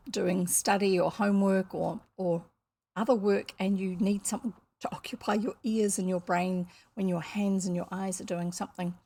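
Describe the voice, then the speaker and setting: Kiwi woman, storytelling streamer, mid-range voice with some fry, loose and chatty. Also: mid-range voice, mellow. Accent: New Zealand. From New Zealand. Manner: rambling and chatty, many fillers. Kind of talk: casual chat to viewers.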